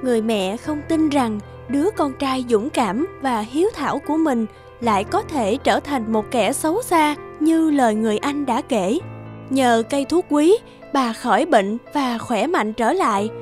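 A woman's voice: high voice, animated, moderate pace, a little mellow, soft background music. A woman narrator telling a fairy tale in scripted storytelling style.

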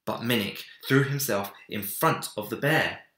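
A young man narrating a children's storybook with dramatic, deliberate phrasing in a room indoors. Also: mid-range voice, bright, forceful tone. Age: young adult. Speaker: story reader. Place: small home room, indoors. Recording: roomy echo.

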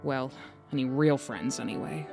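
A woman's voice: rumbling low, normal speed, vocal fry. A woman playing a haughty, vain young woman who talks in a sarcastic, cutting way in an audio drama. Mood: remorseful. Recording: faint music behind.

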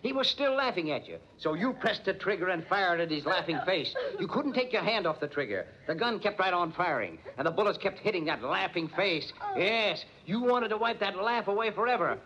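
An actor playing a tough, badgering police lieutenant, insistent and forceful, in 1940s radio acting on an old muffled recording. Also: male voice, sits high, big pitch swings, brisk, hardly any pauses, a little hiss or hum, heavily acted. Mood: relentless accusation. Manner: insistent, forceful interrogating, tough-guy slang. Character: hard-nosed, badgering male police lieutenant.

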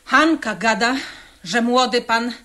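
Humble country godmother for radio drama, highish voice, obsequious yet insistent, folksy. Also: slow, sharp tone. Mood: servile, insistent. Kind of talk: Polish radio theatre acting.

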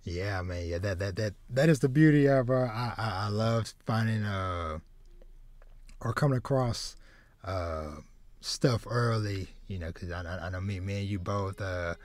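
A man in his thirties hosting a casual podcast chat, halting and repeating words as he starts his sentences. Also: fairly low pitch, dry recording. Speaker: podcast host. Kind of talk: casual chat about anime and manga. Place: small home room with shelves, video call.

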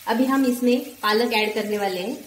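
A woman in her forties narrating a home cooking recipe step by step, calmly listing ingredients one at a time. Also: a little high, normal speed. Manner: calm, lists ingredients one by one. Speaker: cooking channel host. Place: home kitchen, echoey. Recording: echoey room with faint kitchen noise.

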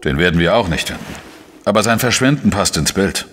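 Male for crime radio play: shrewd, matter-of-fact reporter-sleuth, very low husky voice, reverberant sound. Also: expressive, a bit bright. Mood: measured reasoning. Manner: measured, explanatory, matter-of-fact. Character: shrewd, dry male investigative journalist, narrating his case. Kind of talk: German crime radio play, narration and dialogue.

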